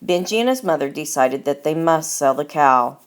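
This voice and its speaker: A woman reading a children's story aloud as its author, in deliberate, expressive scripted narration. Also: low voice.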